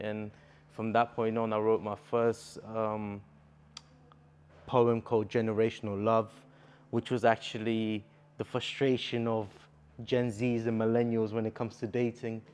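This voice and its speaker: A man's voice: lowish voice, completely flat delivery, moderate pace, a little mellow, smooth. A young man from Bulgaria, a native Bulgarian speaker, talking earnestly as a poet guest in a casual interview.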